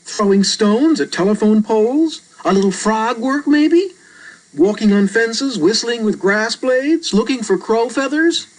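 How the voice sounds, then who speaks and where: A woman's voice: a little high, animated, unhurried, low-quality recording. A woman voicing an easygoing, aloof young boy in a children's storybook, offhand and dismissive in manner.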